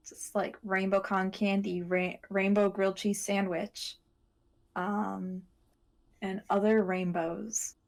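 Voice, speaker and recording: A woman's voice: medium pitch, little pitch movement, slow pace, vocal fry. Matter-of-fact woman hosting casual talk. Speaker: podcast host. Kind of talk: casual informative chat.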